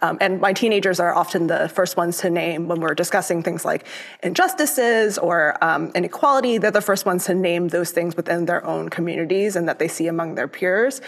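Thoughtful young woman, lowish voice. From born in Hong Kong, raised in Florida. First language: Cantonese. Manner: thoughtful, with many ums and pauses. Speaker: lay youth minister, guest. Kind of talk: interview conversation.

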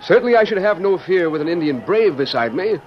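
An actor playing a genial, stately American president, effusive and formal, in an old radio western. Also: male voice, sits high, animated, brisk. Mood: hearty. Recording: muffled, noisy old radio recording.

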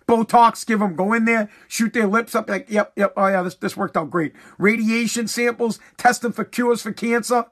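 A man's voice: high voice, fairly bright tone. A man hosting his own podcast, ranting bluntly and heatedly with swearing while he is losing his voice. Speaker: podcast host.

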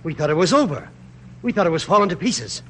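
Eager humble army private, old radio drama, earnest, voice a bit high, some hiss. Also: male voice, sing-song, fast talker, a bit bright. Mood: fervent. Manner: earnest, plain-spoken. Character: humble, eager rank-and-file soldier. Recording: hissy old radio broadcast.

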